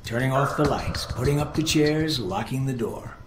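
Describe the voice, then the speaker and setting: A man's voice: mid-range voice, animated, moderate pace, close to an everyday voice. A man acts out an older intelligence analyst in a video game, aloof and condescending. He picks his words with clipped precision and a dry edge. The voice sits in a roomy echo over background music. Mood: cold, superior. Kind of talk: video game dialogue acting.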